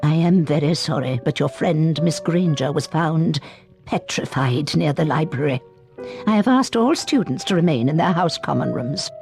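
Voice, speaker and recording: Strict older witch teacher, lowish voice, formal, kind underneath, game character. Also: animated, gravelly, darkish tone, soft background music. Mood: grave, sorry. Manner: formal, measured. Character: stern but sympathetic older female witch schoolteacher. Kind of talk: video game character acting.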